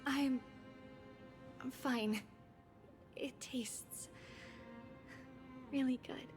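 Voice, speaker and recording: A reserved young noble maiden in a story game. Her voice is high and her pace slow and careful. Soft game music runs underneath. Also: steady tone.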